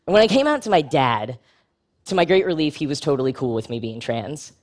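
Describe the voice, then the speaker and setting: Wry, frank man giving a talk. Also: brisk. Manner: lively pitch jumps for emphasis. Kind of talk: prepared public talk.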